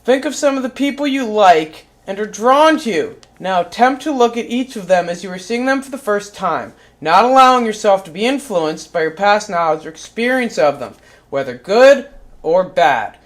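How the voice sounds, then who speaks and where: A performer voicing a short-tempered, foul-mouthed cat who reads a spiritual book aloud, going from calm, measured reading to yelled, swearing rants. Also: medium pitch, lightly acted.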